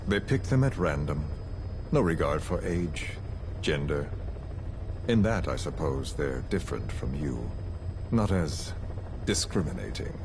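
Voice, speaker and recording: A man playing a cold, calculating video game villain who interrogates with measured, theatrical, falsely polite menace. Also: a bass voice, lively intonation, noisy background, full character voice. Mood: calm menace. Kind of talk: dramatic video game voice acting.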